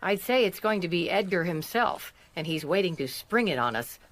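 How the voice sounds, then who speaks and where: A woman's voice: lowish voice, expressive, moderate pace. An actress playing a chatty, friendly, inquisitive woman in a radio mystery drama. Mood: conversational.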